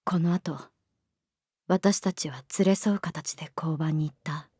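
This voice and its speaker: A woman playing a stubborn but fragile runaway middle-school girl in a Japanese voice drama, speaking softly and haltingly with emotion. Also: deep voice, fairly even intonation, slightly rough, no room sound, clean studio sound. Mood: wistful narration.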